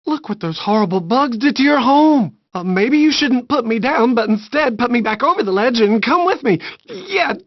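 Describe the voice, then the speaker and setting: A dry, slightly muffled game clip of a wholesome little handyman hero. He is chipper, polite and folksy, and his pitch leaps about in a very lively way. Old-fashioned exclamations pepper his earnest talk. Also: moderate pace, no echo. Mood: alarmed, fretful. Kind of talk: animated game character voice clips. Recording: dull, lo-fi handheld game audio.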